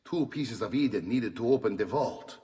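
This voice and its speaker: An actor playing an earnest older uncle in a video game, speaking deliberately and with weight. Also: male voice, lowish voice, lively intonation, dark tone, lightly acted. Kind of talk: dramatic video game cutscene acting. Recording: long echoey hall reverb.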